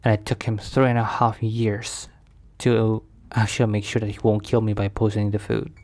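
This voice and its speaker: An actor playing a protective mafia boss boyfriend in an intimate ASMR roleplay, speaking slowly and hesitantly in a confiding, sometimes breathy way. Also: male voice, on the low side, level tone, darkish tone, lightly acted. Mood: relaxed, teasing.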